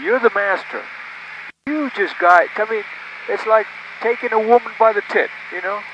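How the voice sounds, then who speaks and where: A man with a high voice talks in a slow, instructive way. He comes through a dull radio transmission with clear static behind him. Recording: muffled, noisy radio transmission.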